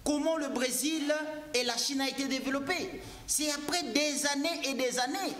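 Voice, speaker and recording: A man's voice: very high voice, normal speed, a bit bright, echoey room. A man arguing insistently in a heated political debate, repeating his points and cutting in. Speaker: debate guest.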